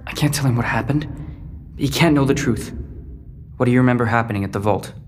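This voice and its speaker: Teenage lad played by a man, troubled and wary, medium pitch, for a sci-fi audio serial. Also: quick pace, noisy background, lightly acted. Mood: guarded, frustrated. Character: Max, stranded teenage boy, anxious, wounded and distrustful. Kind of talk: sci-fi audio drama acting.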